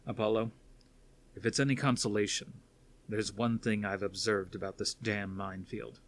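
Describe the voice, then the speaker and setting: A man voicing a steady, fatherly older military commander in a science fiction story reading. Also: on the low side, moderate pace, a little hiss or hum, close to an everyday voice. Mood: serious.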